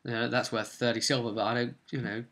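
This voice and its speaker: A man casually recapping his tabletop game as game master, rambling and breaking off into animated asides to viewers. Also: mid-range voice, fast. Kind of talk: casual video recap, storytelling.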